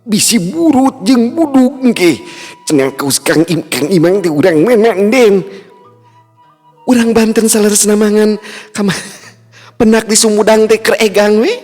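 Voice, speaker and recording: A man's voice: high voice, lively intonation, measured pace, darkish tone, voice pushed up. A man voicing an elderly village mother who gossips and scolds. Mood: gossipy. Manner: chatty, scolding. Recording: reverb, faint music, distant.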